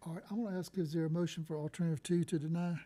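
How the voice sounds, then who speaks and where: A soft-voiced man chairs a public board meeting, moving between formal procedure and informal remarks into a meeting microphone. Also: on the high side, steady tone, rapid-fire.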